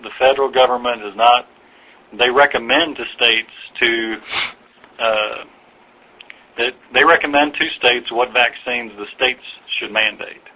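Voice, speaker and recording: A man explaining things patiently and haltingly in a phone conversation, like an official answering a caller's questions. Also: medium pitch, steady tone. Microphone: telephone. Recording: dull lo-fi recorded phone call.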